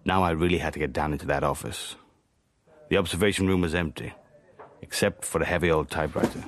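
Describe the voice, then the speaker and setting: An actor playing a world-weary, sardonic Cold War spy, narrating a radio drama in a dry, understated manner. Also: male voice, rumbling low, fairly even intonation, fast talker, slight background noise. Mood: brooding, wry. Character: wry, world-weary Cold War secret agent, insubordinate.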